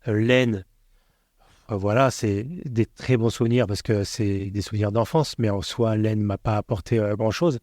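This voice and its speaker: A man's voice: lowish voice, steady tone, a little mellow, no echo, clean studio sound. A man from the Paris region hosting a casual podcast, chatty and opinionated, often jumping in. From Paris region, France. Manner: chatty, opinionated, quick to interject. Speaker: podcast host.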